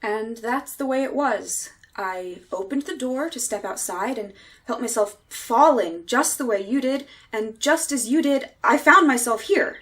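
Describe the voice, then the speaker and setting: A woman's voice: a little high, unhurried, fairly bright tone. An actress playing a haunted, despairing young woman stranded in another world, portentous and melodramatic in 1930s radio style. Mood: solemn.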